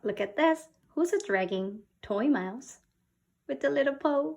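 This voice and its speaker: A woman from South Korea reading a picture book to young children as a teacher, with simple, expressive talk and questions. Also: high voice, measured pace, slight background noise. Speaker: children's English read-aloud teacher.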